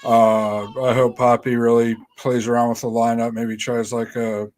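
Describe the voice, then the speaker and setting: A man co-hosting a casual podcast talks in a flat, even tone. He sounds tentative and leans on fillers. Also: medium pitch, normal speed. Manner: hesitant, tentative.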